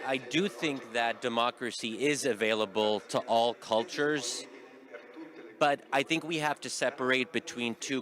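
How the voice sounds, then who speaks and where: A man speaking on a public panel, explaining his points in a measured, careful way. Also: mid-range voice, a bit bright. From United States. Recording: clear crowd noise behind. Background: crowd noise.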